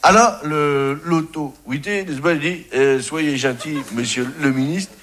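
A man telling a joke slowly, halting and repeating a filler phrase. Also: fairly high pitch, fairly bright tone, slight background noise. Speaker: joke teller.

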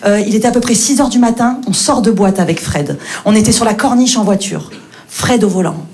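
A young woman doing stand-up, lively storytelling that acts out the dialogue. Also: mid-range voice, brisk. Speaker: stand-up comedian.

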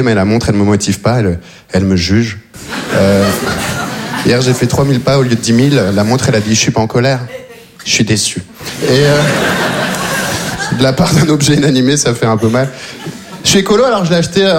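Man doing stand-up comedy. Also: medium pitch. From Belgium. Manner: conversational, teasing the audience. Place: comedy stage before a live audience.

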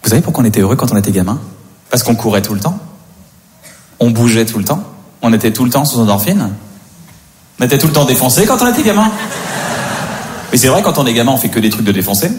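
A man's voice: mid-range voice, sing-song, quick pace, long pauses, a little mellow, slight background noise. A man doing a stand-up routine, chatty and building up to playful rants. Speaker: stand-up comedian.

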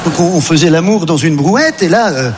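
A man doing absurd stand-up before a live audience, talking in halting bursts full of fillers. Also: a little high, animated, heavy background noise. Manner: hesitant, halting with many fillers. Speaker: stand-up comedian. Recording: live hall recording aired on radio.

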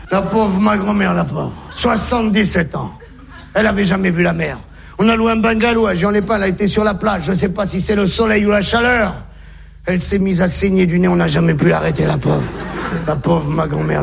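A man's voice: high-pitched, bright, forceful tone, heavily acted. A stand-up comedian playing a sentimental older music-hall producer who drags out his words in a sing-song, heard on a live stage recording with faint noise. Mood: gushing. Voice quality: gravelly, sing-song, drawn-out repetitions. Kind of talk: stand-up sketch before a live audience.

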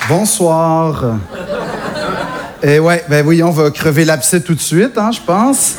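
Québécois man, stand-up comedian, high-pitched voice, self-mocking and chatty, faint audience laughter. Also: takes it slowly, a crowd in the background, noisy background. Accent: Québécois French. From Quebec, Canada. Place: stage before a live audience.

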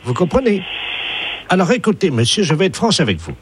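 A man's voice: sits high, very animated, brisk, noisy background. A man voicing a prim, naive older woman, an academician's wife, making a prank phone call. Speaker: prank caller.

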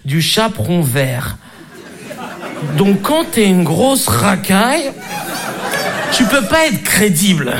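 A young man doing an animated, mocking stand-up routine. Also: sits high, takes it slowly, pauses often. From France. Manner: animated, mocking, does voices. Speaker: stand-up comedian. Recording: live club with audience noise. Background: crowd laughter.

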